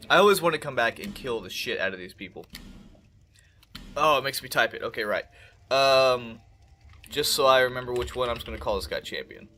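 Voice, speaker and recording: A man's voice: medium pitch, animated, a little fast, long pauses, bright, forceful tone, a little hiss or hum. A young man with a congested voice, swearing and joking casually as he plays a game. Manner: profane, joking, talks back to the game. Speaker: gameplay commentator. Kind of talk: casual let's-play commentary.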